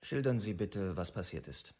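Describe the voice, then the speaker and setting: An actor playing a police interrogator in a documentary radio drama, clipped, official and businesslike. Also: male voice, low voice, lively intonation, normal speed, breathy edge.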